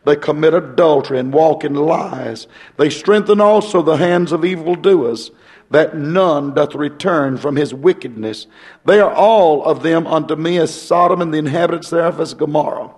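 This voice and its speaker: A hoarse male pastor, talking folksy and rambling, then reading scripture in a rising preaching cadence. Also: a little high, moderate pace, darkish tone.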